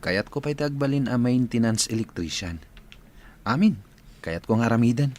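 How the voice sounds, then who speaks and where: A man's voice: mid-range voice, moderate pace, darkish tone, close to an everyday voice. A man playing a determined, lovestruck young student in a radio drama, with a Filipino accent. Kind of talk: village radio drama acting.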